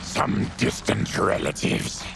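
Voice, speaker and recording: A man voicing a stern, honourable monstrous warrior in a video game, terse and blunt, with a growling, gravelly voice. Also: takes it slowly, noisy background, natural voice. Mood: solemn. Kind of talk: video game cutscene acting. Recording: loud game music behind the voice.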